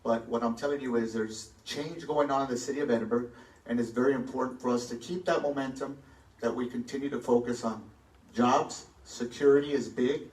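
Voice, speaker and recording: A man's voice: medium pitch, fairly even intonation, a little fast, darkish tone. Confident man, echoey hall from afar. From Texas. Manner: confident, rising to emphatic. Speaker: mayoral candidate at a forum. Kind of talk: campaign forum answer. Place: large hall stage, seated panel. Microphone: handheld mic, filmed from far back. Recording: echoey hall, far from the phone.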